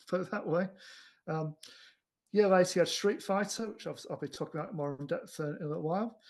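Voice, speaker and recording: A man chatting casually as a podcast host about films, with a hesitant delivery full of repeated restarts. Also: a little high. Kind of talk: casual chat reviewing films.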